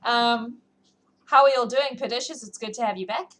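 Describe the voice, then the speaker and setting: A woman's voice: a little high, fairly quick, a bit bright. A woman hosting a livestream, chatting casually and informally to her viewers in a rambling, self-deprecating way. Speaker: livestream host who reads books aloud. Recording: clearly noisy livestream audio.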